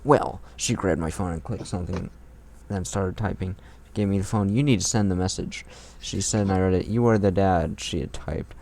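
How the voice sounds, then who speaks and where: A man's voice: low-pitched, moderate pace, vocal fry, warm tone, slight background noise. A man reading fan fiction aloud in a mocking, irreverent way, tossing in crude comments of his own.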